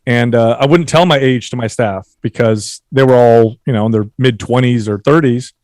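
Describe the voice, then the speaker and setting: A man in his forties from San Jose, California, a fitness trainer guesting on a podcast, telling easygoing stories and chiming in with quick agreement. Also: medium pitch, a little mellow, no echo, studio quality. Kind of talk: casual chat.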